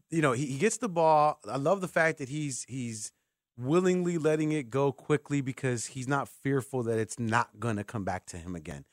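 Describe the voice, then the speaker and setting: A man's voice: medium pitch, fairly bright tone, no echo, clean studio sound. A man hosting a sports radio show, talking conversationally as he interviews a guest.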